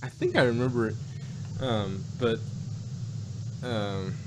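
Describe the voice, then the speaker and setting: A man co-hosting a casual talk show, speaking in a halting, rambling way. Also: mid-range voice. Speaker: podcast co-host. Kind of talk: casual chat. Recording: clearly noisy, steady hum.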